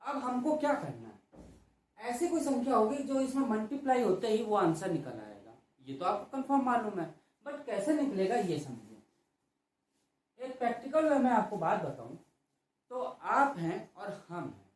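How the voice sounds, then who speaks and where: A male math teacher lectures with a lively, rising-and-falling voice, asking a question and then answering it himself. The sound is very echoey and comes from a mic placed well away from him. It has the feel of a recorded classroom lesson. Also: high voice, moderate pace, slight background noise. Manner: repeats questions and answers them himself, call-and-response drilling. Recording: very echoey room, mic far off.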